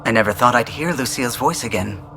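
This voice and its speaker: A reflective starship crewman from a video game. His mid-pitched voice has a bright, forward tone and a sincere, measured delivery. Faint music runs underneath. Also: expressive.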